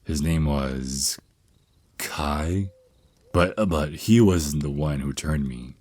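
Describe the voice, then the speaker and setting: A man's voice: very deep voice, takes it slowly, darkish tone, breathy edge, dry recording, full character voice. A man playing a tender, reassuring vampire naga mentor and boyfriend in an ASMR roleplay, speaking soothingly and affectionately. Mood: reflective.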